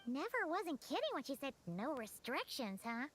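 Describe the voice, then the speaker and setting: A woman voicing a tiny, cheeky fairy companion girl in a video game, squeaky, childlike and playful. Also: big pitch swings, normal speed, a bit bright, a little hiss or hum. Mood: curious. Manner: exclamatory, playful. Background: game music.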